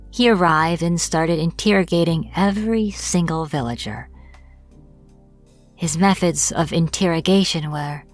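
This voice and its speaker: A woman acting as a captured witch in a fantasy audio roleplay, irritable and defiant, speaking informally with sarcastic exasperation. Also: low-pitched. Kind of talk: dramatic fantasy audio roleplay, addressed to the listener.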